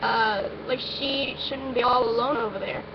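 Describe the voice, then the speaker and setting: A woman's voice: fairly high pitch, steady tone, measured pace. A woman reading a first-person story aloud in an informal, amateur way, now and then stumbling over her words. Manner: informal, stumbles and breaks off with asides. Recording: muffled, noisy amateur recording.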